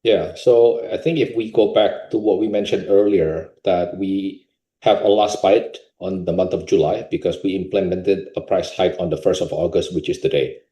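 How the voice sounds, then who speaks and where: A man with an Indonesian accent answering investor questions off the cuff, in halting phrases, in a roomy recording. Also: deep voice, fairly even intonation, warm tone. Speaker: company executive answering questions. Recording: roomy, echoing sound.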